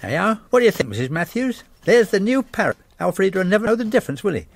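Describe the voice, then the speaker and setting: An actor playing a bumbling, hapless man who talks in an excitable, fumbling way. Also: sits high, fast, gravelly, slight background noise, heavily acted. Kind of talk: sitcom acting.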